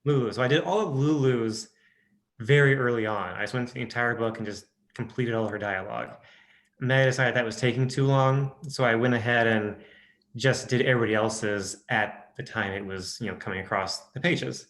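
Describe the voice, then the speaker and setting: A man's voice: medium pitch, brisk, a bit bright. Hesitant man with a Midwestern accent. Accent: Midwestern American. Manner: hesitant, many restarts and fillers. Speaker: audiobook narrator. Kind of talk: casual interview chat.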